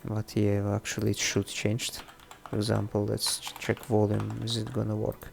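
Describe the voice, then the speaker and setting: Low-pitched man with creaky, halting delivery. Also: monotone. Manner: halting, thinking aloud in non-native English. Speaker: presenter of a programming tutorial series. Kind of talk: unscripted screen-share coding tutorial, casual. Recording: faint background music under the voice. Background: faint music.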